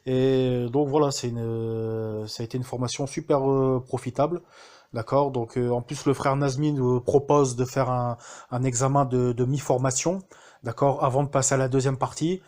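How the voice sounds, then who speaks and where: Homme hésitant, nombreuses reprises. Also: male voice, medium pitch, fairly even intonation, normal speed, darkish tone. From France. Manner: hesitant, many fillers and restarts. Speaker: student giving a testimonial. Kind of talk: casual spoken testimonial.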